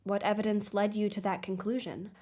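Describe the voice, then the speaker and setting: A woman playing a composed investigative podcast host, with measured, formal delivery in a scripted audio drama documentary. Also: medium pitch, level tone, darkish tone, lightly acted. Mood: inquisitive, courteous.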